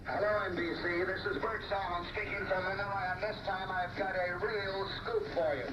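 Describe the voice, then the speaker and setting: High-voiced 1940s radio correspondent, noisy line. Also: male voice, fairly even intonation, moderate pace. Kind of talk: 1940s live radio news report. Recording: noisy, muffled, echoing shortwave radio broadcast.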